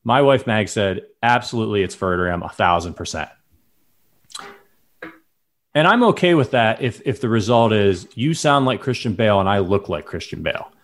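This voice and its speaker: Man, low voice, podcast co-host, playful, laughing, casual chat. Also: expressive, normal speed. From lives in Chicago.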